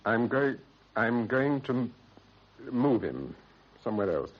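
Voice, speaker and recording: An actor playing an anxious, guilt-ridden British husband in a period radio drama, speaking in a clipped, grave and troubled way. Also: male voice, mid-range voice, very animated, unhurried. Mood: desperate, agitated. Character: anxious guilt-ridden husband hiding a body, adult man. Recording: dull, band-limited vintage radio recording.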